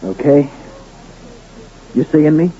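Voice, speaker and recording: An actor playing a terse, defiant Western poker player in an old-time radio drama. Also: male voice, fairly high pitch, lively intonation, takes it slowly, slightly rough, exaggerated voice. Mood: tense, confident. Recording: muffled, noisy old radio recording.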